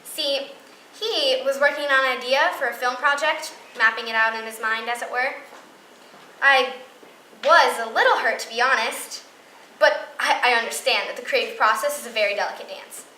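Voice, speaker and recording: A woman's voice: fairly high pitch, moderate pace, sharp tone. A woman playing a hurt but devoted girlfriend confiding earnestly in a friend, in a stage monologue. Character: hurt woman earnestly excusing her genius filmmaker boyfriend. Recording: echoing room, distant mic, clear noise.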